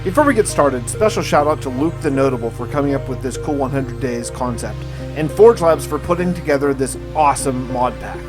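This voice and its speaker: A man's voice: mid-range voice, animated, a little fast, few pauses. A man narrating a gaming survival story as a scripted first-person log, with a dramatic storytelling delivery. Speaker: gaming video host narrating a role-play survival series. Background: music.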